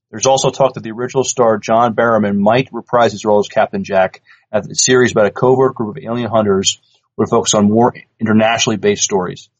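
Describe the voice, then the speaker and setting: A man co-hosting a podcast, casually reading news items aloud in a halting, stumbling way. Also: medium pitch, lo-fi sound. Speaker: podcast co-host.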